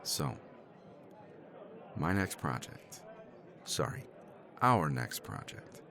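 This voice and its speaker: A man in a romance ASMR roleplay speaks as a professor, his voice low and slow. His pitch swings widely as he teases, laughs and swears without a care. He keeps it wry and self-mocking. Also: big pitch swings, pushed and bright. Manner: wry, teasing, self-deprecating; swears casually, laughs often.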